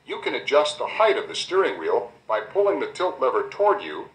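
An American man reading a scripted instructional guide, addressing the listener directly in a clear, explanatory way, on an old cassette recording. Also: on the high side, huge pitch swings, fairly quick, a bit bright. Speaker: instructional narrator. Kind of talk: scripted car owner's guide narration. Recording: roomy replay of 1990 cassette tape.

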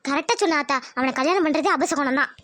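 A woman's voice: sits high, quick pace, noisy background, close to an everyday voice. A woman voicing a young village daughter, a soon-to-be bride who coaxes and pleads with her mother. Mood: pleading, coaxing. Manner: pleading, coaxing.

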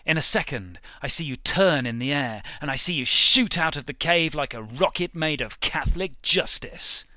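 A man giving a theatrical, intense and deliberate dramatic reading of satirical prose. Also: a little high, animated, pushed and bright, no room sound. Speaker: amateur reader.

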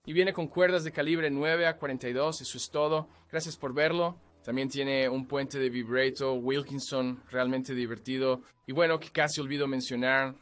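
A man's voice: mid-range voice, fairly even intonation, normal speed, few pauses, a bit bright. A man from Canada giving a casual, joking guitar review. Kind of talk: product review talk.

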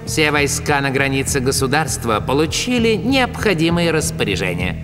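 Animated, sly villainous henchman. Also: a little high, moderate pace, in a large hall, over music. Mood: servile, confident. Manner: deferential, assured. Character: sly obsequious villainous dog henchman officer. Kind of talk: dubbed cartoon acting.

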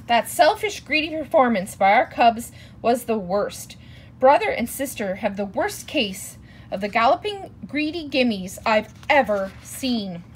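A woman voicing an adult papa bear in a storybook, loud and blustering. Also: medium pitch, very animated, takes it slowly, a little hiss or hum, exaggerated voice. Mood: outraged.

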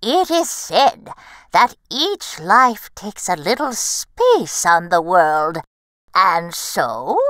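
A woman's voice: fairly low pitch, very animated, very slow, fairly bright tone, no room sound, full character voice. Storybook crow, female fortune-teller played by a woman: grand, swooping delivery, close dry sound. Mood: mysterious, solemn. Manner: theatrical, knowing, coaxing.

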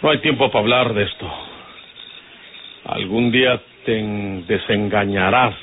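An actor playing a domineering older outlaw boss in an old radio drama, with a rural northern Mexican accent and a folksy, commanding manner. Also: male voice, on the low side, unhurried, natural voice. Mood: stern, fatherly. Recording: noisy, tinny vintage radio recording.

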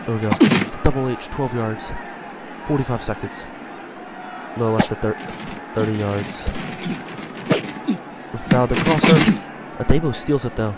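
A man with a stuffy voice from a cold, commentating his own video game play and getting excited on big plays. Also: mid-range voice, a little slow, pauses often, heavy background noise. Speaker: gaming channel host.

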